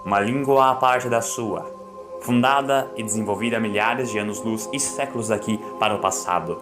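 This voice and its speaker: A man voicing an imperious, defiant male god of dreams in solemn, archaic declamation for a dramatic audiobook, with loud music behind him. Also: lowish voice, normal speed, natural voice. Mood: cold, disdainful.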